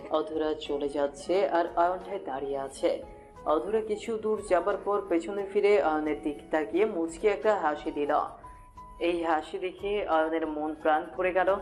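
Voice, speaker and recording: Low-voiced woman narrating romance over music. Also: a little mellow. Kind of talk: scripted romantic story narration.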